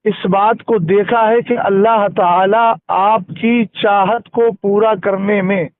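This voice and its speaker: A man's voice: high-pitched, steady tone, slow, no echo. A man, an Islamic scholar, giving a didactic religious explanation with recited verses and checking that his listener follows, over a phone call. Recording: narrow-band recorded phone call.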